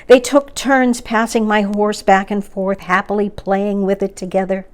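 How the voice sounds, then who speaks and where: Slow-paced woman reading a storybook. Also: medium pitch. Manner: measured, animated read-aloud with acted dialogue. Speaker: story time reader. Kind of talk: expressive storybook narration for children.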